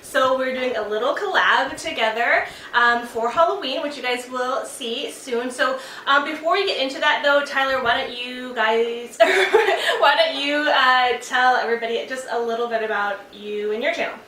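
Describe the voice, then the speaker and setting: A woman's voice: sits high, normal speed, few pauses, pushed and bright, slight background noise. A woman hosting her beauty vlog in a casual, chatty way with lots of ums and giggles, sounding stuffed up from a cold. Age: adult aunt of a teen. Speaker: beauty vlogger host. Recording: roomy sound, mic at a distance, faint music.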